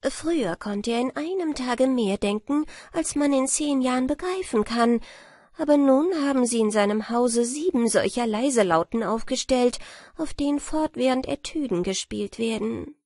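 A woman's voice: on the high side, lively intonation, moderate pace, darkish tone, no echo, studio quality, voice pushed up. A woman voicing an outraged common man in a fairy tale, earnestly complaining to his king. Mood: agitated.

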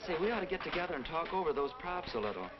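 A man's voice: a little high, lively intonation, rapid-fire, natural voice. A young man playing an eager, good-natured teenage boy in a 1940s instructional film. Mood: eager, joking. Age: young adult. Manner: earnest, boyish. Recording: muffled, noisy 1940s film soundtrack.

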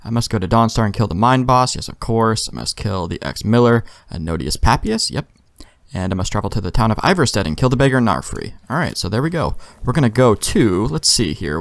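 Male let's play host, lower register, loose joking commentary, casual profanity. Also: no room sound. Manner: sweary, joking, talks back to game characters.

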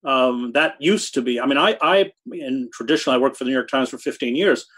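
A man in his sixties answering interview questions over a video call from a home study, speaking thoughtfully with halting restarts and hard emphasis on key words. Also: mid-range voice, fairly bright tone, husky. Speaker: guest, journalist. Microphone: video call webcam.

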